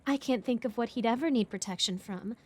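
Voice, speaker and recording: Actress as scheming society noblewoman for audio role play: lightly high register, effusive, affected, sly. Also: a little fast, close to an everyday voice. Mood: gushing, flattering. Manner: effusive, affected courtly politeness. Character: gushing, flattering, sly noblewoman, a duchess.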